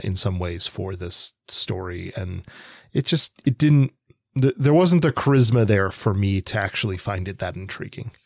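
A man co-hosting a podcast, discussing literature in a measured, analytical, conversational way. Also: lowish voice, no room sound, clean studio sound. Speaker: podcast co-host.

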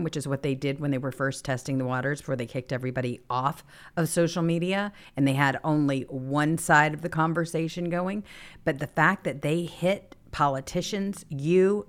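A woman co-hosting a political talk show, speaking in an emphatic, assertive way. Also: low voice. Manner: emphatic, agreeing, building to raised excitement. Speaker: co-host.

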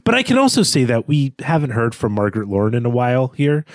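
A man's voice: medium pitch, very animated, fast talker, no room sound. A man co-hosts a book podcast in a casual, analytical chat. His intonation moves around a lot, and he hesitates as he thinks aloud. The recording is dry. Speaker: podcast co-host. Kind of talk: casual literary discussion.

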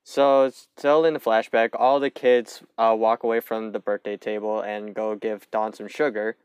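A man's voice: on the low side, level tone, normal speed, darkish tone, smooth, dry recording, lo-fi sound. A young man co-hosting a podcast, casually recapping a cartoon episode and swearing freely. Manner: loose, swears casually, narrates plot points. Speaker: podcast co-host.

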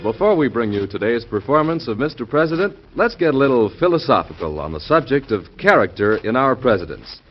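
A man's voice: medium pitch, sing-song, brisk, few pauses, music in the background. A man hosting a radio drama in a folksy, rhetorical 1940s presenting style. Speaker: host and narrator of a radio drama. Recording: muffled vintage radio recording.

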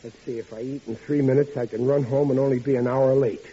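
An actor playing a panicky, bumbling working-class husband who stammers and blusters in a vintage radio comedy. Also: male voice, medium pitch, steady tone, fast talker, dark tone, slightly rough, natural voice. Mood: flustered. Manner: flustered, blustery, uneducated colloquial speech. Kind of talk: 1940s radio comedy acting. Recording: dull, noisy old radio recording.

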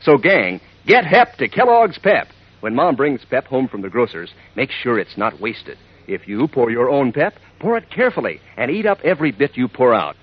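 A man's voice: mid-range voice, huge pitch swings, moderate pace, husky, lo-fi sound. A man announcing a scripted radio ad in peppy 1940s style, chummy and folksy, on an old recording. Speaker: announcer, pitchman.

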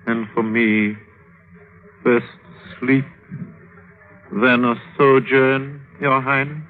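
An actor playing a deferential, ambitious court physician, speaking in a measured, ornate old radio drama manner. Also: male voice, mid-range voice, steady tone. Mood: cautious, calculating. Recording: tinny, hissy old radio broadcast recording.

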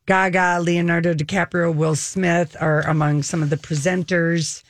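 A woman's voice: lowish voice, level tone, moderate pace, dry recording. Chatty woman co-hosting, dry studio sound. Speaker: entertainment talk show co-host. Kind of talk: casual bantering chat.

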